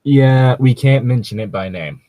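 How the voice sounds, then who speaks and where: A man co-hosting a casual podcast, riffing and joking in a profane, giggly, rambling way with a husky voice. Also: mid-range voice, animated, unhurried, warm tone. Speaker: co-host of a podcast.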